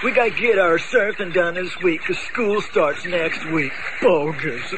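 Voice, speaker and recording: Slow-drawling teenage surfer dude. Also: male voice, high-pitched. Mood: amused, carefree. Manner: drawling surfer slang, carefree. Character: laid-back, giggly teenage surfer dude. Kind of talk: audio drama acting. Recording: noisy radio-style recording.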